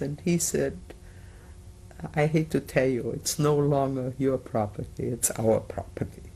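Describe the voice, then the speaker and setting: Older woman, slow and halting, gravelly. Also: a bass voice, a little mellow, a little hiss or hum, poor audio. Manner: hesitant, many filler pauses; slow, measured. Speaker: interviewee. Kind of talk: oral history testimony.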